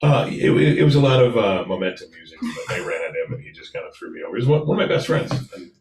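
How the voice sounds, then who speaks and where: A man's voice: deep voice, very animated, fast, gravelly, roomy sound, far from the mic, noisy background. A man with an American accent, a guest on a talk show, bantering casually.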